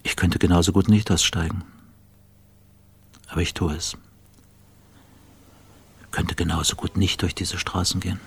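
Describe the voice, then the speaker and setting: Radio drama: wry, self-dissecting male journalist, breathy and very raspy, creaky low register. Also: flat delivery, measured pace, long pauses, full character voice. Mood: bleak, detached. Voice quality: husky, breathy, gravelly. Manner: wry, self-dissecting, often dropping to a near whisper. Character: self-doubting, bitterly cynical male television journalist, smoker. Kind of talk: radio play interior monologue.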